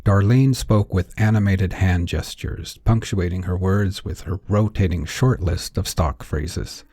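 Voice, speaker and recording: Deep, husky male storyteller. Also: warm tone, dry recording. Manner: measured, wry, theatrical storyteller delivery. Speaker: narrator and author. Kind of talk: scripted fiction narration, noir storytelling.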